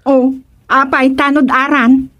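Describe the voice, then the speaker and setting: Proud filipina village councilwoman, high voice, emphatic, radio comedy drama. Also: little pitch movement, slow pace, fairly bright tone. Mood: proud, teasing. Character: village councilwoman, proud of her neighbourhood's unity.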